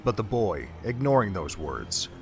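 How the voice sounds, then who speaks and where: A man narrating a fan audio drama in a steady, measured storytelling manner. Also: lowish voice, faint music behind.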